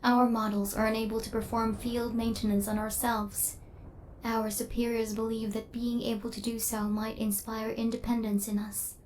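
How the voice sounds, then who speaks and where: A woman voicing a female killer robot in an ASMR roleplay, speaking in formal, clinical, literal phrases with a stern yet softening temper. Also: on the high side, little pitch movement, normal speed, slight background noise, close to an everyday voice, rough recording.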